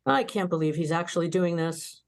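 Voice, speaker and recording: A woman playing a mischievous, nosy young female starship officer with a brash, gleeful manner, reading an animated comedy script. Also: lowish voice, normal speed, natural voice. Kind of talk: table read of animated sci-fi comedy script.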